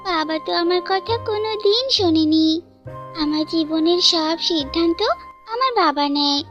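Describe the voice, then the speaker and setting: Woman voicing a very high-pitched lovestruck girl bird. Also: moderate pace, fairly bright tone, lo-fi sound, faint music behind. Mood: worried. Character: lovestruck, anxious young female bird, a student. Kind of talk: cartoon fairytale dialogue.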